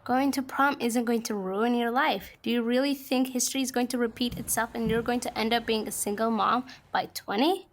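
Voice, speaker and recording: A woman's voice: on the high side, steady tone, fairly quick, hardly any pauses, close to an everyday voice. A young woman playing a pushy, encouraging teenage girl, the best friend in a student audio drama, insistent and coaxing. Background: music behind the voice.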